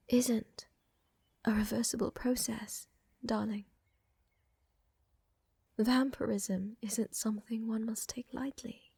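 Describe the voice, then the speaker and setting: A woman playing a tender, seductive vampire countess in an intimate ASMR roleplay, speaking softly and often in whispers, with refined affection. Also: on the high side, no room sound, heavily acted. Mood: tender, reassuring. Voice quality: soft, breathy, often whispering. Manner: slow, refined, affectionate, gently dominant. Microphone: close, dry microphone.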